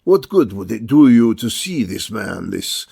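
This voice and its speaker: An actor playing a cautious, sensible gentleman friend in old-time radio style, speaking in a measured, soothing way. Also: fairly low pitch, sing-song, creaky, breathy edge, no room sound, clean studio sound, exaggerated voice. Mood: cautious, sceptical. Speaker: supporting actor in radio play.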